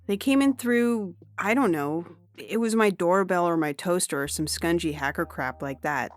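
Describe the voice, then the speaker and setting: Woman playing a brusque, low-voiced businesslike performer. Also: normal speed, no echo, natural voice. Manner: hard, reproachful. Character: brusque, businesslike streaming performer woman, scolding her partner. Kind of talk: dramatic reading of a science fiction story. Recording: music bed under the voice. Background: music.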